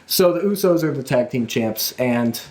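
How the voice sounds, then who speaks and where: A man's voice: mid-range voice, expressive, normal speed, a little mellow, reverberant. A man hosting a casual wrestling podcast, chatting with co-hosts in conversational style. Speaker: podcast host.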